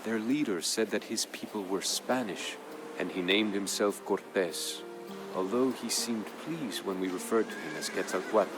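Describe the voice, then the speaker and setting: A grave Aztec warrior acted by a man tells of his people's fall in a low, deep voice. The delivery is measured, proud and dramatic, in the manner of a strategy game storyteller. Music plays clearly behind the studio narration. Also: lightly acted. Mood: solemn storytelling. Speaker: campaign narrator.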